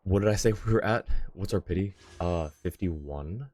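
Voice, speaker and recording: A man's voice: low-pitched, moderate pace, darkish tone. A man talking casually on a live gaming stream, rambling and thinking aloud as he goes. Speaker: game livestreamer.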